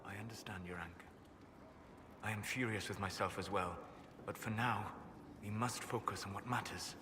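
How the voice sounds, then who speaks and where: An actor playing a guarded, scholarly male elf mage who speaks calmly and in a measured way, in game cutscene dialogue. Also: lowish voice, noisy background, close to an everyday voice. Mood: cold, restrained. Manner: calm, measured, soft-spoken. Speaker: video game character.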